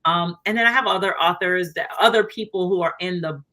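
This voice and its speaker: Bright, chatty woman author on a call. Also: lowish voice, fairly quick. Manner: chatty, animated, many fillers and acted-out quotes. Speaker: author guest. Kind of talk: casual interview chat.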